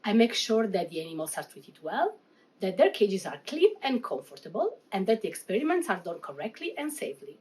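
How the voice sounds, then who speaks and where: Lively Italian-accented woman, middle-aged. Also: medium pitch, fairly quick, husky, poor audio. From Italy. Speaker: research associate. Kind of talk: scripted self-presentation.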